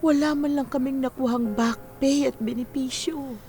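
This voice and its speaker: A woman's voice: sits high, fairly even intonation, normal speed, dark tone, a little hiss or hum. A woman acting in a Filipino radio drama as an earnest, wistful widowed mother in her forties, weary after working overseas. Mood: earnest, pained.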